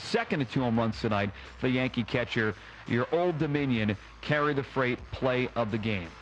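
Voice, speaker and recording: A man's voice: mid-range voice, rough recording. A man hosting a radio post-game show, recapping games and reading sponsor spots. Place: ballpark broadcast. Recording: clear background noise and ambience.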